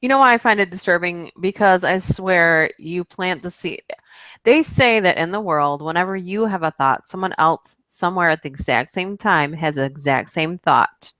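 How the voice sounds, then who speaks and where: A woman's voice: on the low side, moderate pace, a bit bright, dry recording. A woman chatting as a guest on a stream, earnest and thoughtful. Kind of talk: casual group chat on a stream.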